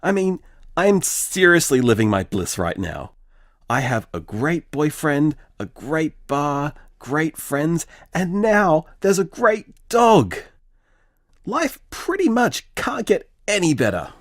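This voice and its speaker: An actor playing a blissful, affectionate, mock-grumpy boyfriend leaving a conversational bedtime voicemail in an audio drama. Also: male voice, on the high side, very animated, slow, dry recording, clean studio sound. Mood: happy, affectionate.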